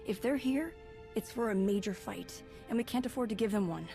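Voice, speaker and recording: A woman playing a practical, gently teasing young Jedi in a video game cutscene. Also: fairly high pitch, fairly quick, creaky, faint music behind. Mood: worried.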